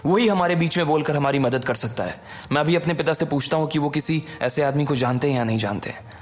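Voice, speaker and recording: A man's voice: on the high side, fast, natural voice. A man voicing a worried, protective young college friend, urgent, emphatic and pleading, in an audio drama over music. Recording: background music under the voice.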